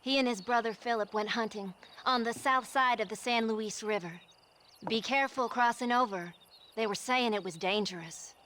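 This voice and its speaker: A woman's voice: on the high side, moderate pace, fairly bright tone, slight background noise, close to an everyday voice. Woman playing a fretful, genteel wife. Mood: kindly. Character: fretful, devoted wife of a retired agent. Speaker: video game character.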